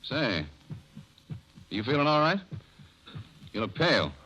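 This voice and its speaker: An actor playing a brisk, courteous but probing police officer in old radio drama style, plainspoken and official. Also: male voice, medium pitch, very animated, sharp tone, highs cut off, exaggerated voice. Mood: curious, casual. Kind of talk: 1940s radio acting.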